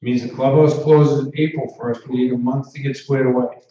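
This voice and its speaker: A man's voice: mid-range voice, fairly even intonation, moderate pace, mellow. A man presenting at a town hall meeting, taking questions and pressing on firmly with repeats to hold the floor. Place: large indoor meeting hall. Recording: echoey hall, distant, dull sound.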